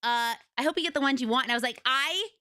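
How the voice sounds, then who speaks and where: A young woman streamer with a raspy voice, chatting casually and rambling to her viewers from home. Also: high voice, fast, pushed and bright, no echo, clean studio sound. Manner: chatty, rambling, retells conversations.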